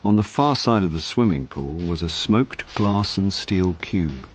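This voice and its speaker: A man's voice: low voice, lively intonation, measured pace, noisy background. An actor playing a wry, world-weary middle-aged actor who narrates his own hard-drinking life with dry self-deprecation in a radio drama. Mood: wry, droll.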